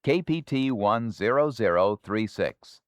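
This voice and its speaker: A man's voice: on the low side, expressive, unhurried, dry recording, clean studio sound. A man with an American accent narrating scripted instruction in an explanatory announcer's manner.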